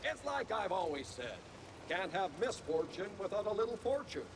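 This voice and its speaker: An actor playing a flamboyant, grandiose eccentric in a sci-fi video game, speaking in a florid, theatrical and pompous manner. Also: male voice, high-pitched, sing-song, moderate pace. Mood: effusive, grandiose. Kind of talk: video game character acting. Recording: noisy ambient background.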